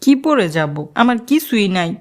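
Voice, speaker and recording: A woman's voice: fairly high pitch, very animated, normal speed, darkish tone. A woman voicing a sulky, stubborn teenage daughter in a cartoon who talks back to her mother. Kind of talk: cartoon voice acting.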